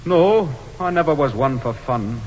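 Stiff solemn young scotsman in a play, husky highish voice, very swingy pitch. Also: expressive, unhurried. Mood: solemn, stolid. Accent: Scottish. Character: solemn, humourless, ambitious young Scotsman, stubbornly honourable. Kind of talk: stage drama acting. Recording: dull, reverberant hall recording.

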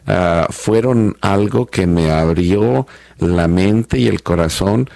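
A man's voice: sits low, slow pace, vocal fry, dark tone, no room sound. A man in his fifties with a Mexican accent, talking reflectively and hesitantly as the host of a conversational interview. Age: 50s. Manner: hesitant, reflective, searching for words. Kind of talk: conversational testimony in a panel interview.

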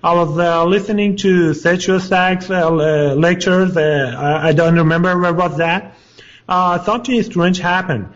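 A man calling in to a radio show over Skype, speaking haltingly with many fillers; the call sounds hollow. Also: sits high, fairly even intonation, dull audio. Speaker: caller.

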